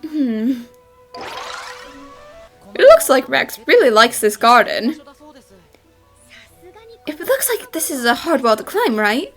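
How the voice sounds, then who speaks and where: A high, very animated young female detective played by a woman, eager and inquisitive, with a love of food. The delivery is perky cartoon game dubbing over background music. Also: takes it slowly, long pauses, exaggerated voice. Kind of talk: fan dub game voice acting.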